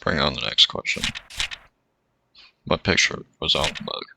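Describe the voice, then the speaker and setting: A man's voice: a bass voice, animated, moderate pace, gravelly, sharp tone, slight background noise. A man reading a visual novel's dialogue aloud and adding casual remarks as he plays. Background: game music.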